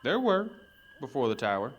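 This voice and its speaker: A man's voice: mid-range voice, very animated, normal speed, dull audio. A man playing a haughty young male noble of an old people, with formal, aloof speech.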